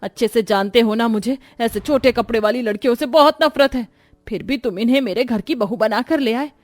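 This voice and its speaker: A woman's voice: a little high, brisk, dry recording, natural voice. A woman voicing a strict, middle-aged mother-in-law in a moral-story cartoon, indignant and domineering as she lectures. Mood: outraged.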